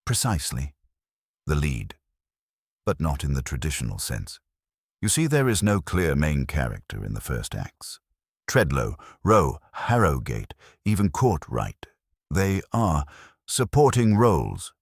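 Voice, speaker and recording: A man voicing a keen, brooding master detective in an audio story, explaining in a deliberate, theatrical manner. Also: rumbling low, huge pitch swings, creaky, breathy edge, no echo, clean studio sound, exaggerated voice. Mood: dramatic, assured.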